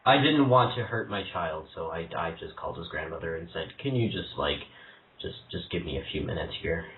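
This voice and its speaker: A man's voice: low-pitched, animated, brisk. A young man making a prank phone call, stammering and hesitant in a mock-earnest tone. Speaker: prank caller. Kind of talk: prank phone call, posing as a troubled single father. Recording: tinny phone line, echoey room, faint hiss.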